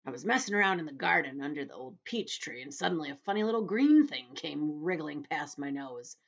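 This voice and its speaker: A woman voicing a boastful, rascally male centipede in a loud, self-satisfied manner for a storybook. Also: low-pitched, very animated, fairly quick, highs cut off, exaggerated voice. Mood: excited, bossy.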